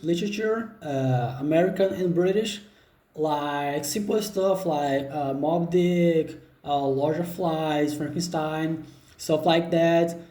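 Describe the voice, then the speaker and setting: Man, 20s, Brazilian Portuguese accent, mellow, hesitant fillers, echoey laptop recording. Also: on the high side. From northeast Brazil. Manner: unhurried, hesitant with frequent fillers and restarts. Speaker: job seeker. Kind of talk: self-introduction video.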